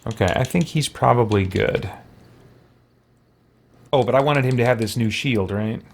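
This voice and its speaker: A man's voice: medium pitch, moderate pace, creaky, slight background noise. A man giving casual gameplay commentary as a let's play host, thinking aloud and muttering to himself as he goes.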